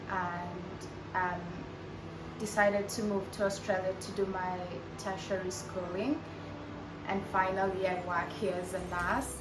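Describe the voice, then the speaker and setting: A woman from Kenya, a nurse, introducing herself in a relaxed, casual interview. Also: medium pitch, heavy background noise. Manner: relaxed and unhurried, with ums. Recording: echoey room, distant mic, loud music.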